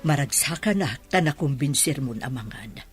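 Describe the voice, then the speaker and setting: An actress with an Ilocano accent playing a caring, eager rural mother in a radio drama, with a husky voice. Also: very deep voice, animated, normal speed, faint music behind, full character voice. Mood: caring, pleased.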